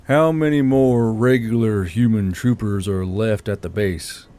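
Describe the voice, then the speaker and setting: A man's voice: on the low side, measured pace, dark tone. A man voicing a weary, wry military commander in a story, terse and musing, with faint noise behind. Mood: wry, probing.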